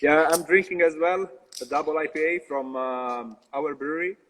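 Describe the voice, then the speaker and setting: A man's voice: fairly high pitch, a bit bright, big-room sound, a little hiss or hum, low-quality recording. A young man with an Italian accent, a brewer talking casually in an interview over a video call, hesitant and full of fillers and restarts. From Italy. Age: young adult. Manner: halting, many fillers and restarts. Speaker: brewer, guest.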